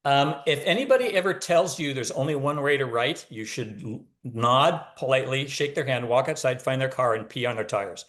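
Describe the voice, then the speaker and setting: A man's voice: mid-range voice, normal speed, few pauses, a bit bright. A man talking casually as an author guest, wry and opinionated, telling anecdotes and giving advice.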